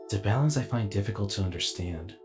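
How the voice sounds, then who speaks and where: A man playing a weary, reflective alien robot warrior in a dramatic inner monologue, speaking deliberately and formally. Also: lowish voice, expressive, music in the background, lightly acted. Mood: fascinated, pensive.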